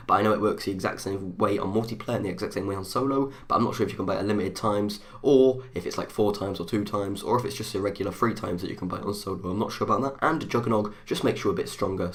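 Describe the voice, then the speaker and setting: A young man with a bunged-up, runny nose, talking through a rushed gaming video in a fast, rambling way. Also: fairly low pitch. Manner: fast, rambling, thinks aloud.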